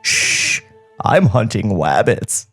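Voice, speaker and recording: A man's voice: fairly low pitch, very animated, takes it slowly, fairly bright tone, breathy edge, faint music behind, pitched below the performer's own voice, full character voice. A man voicing a meek, dim cartoon rabbit hunter who lisps his r's as w's. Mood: meek, befuddled. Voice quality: lisping, r and l turned to w.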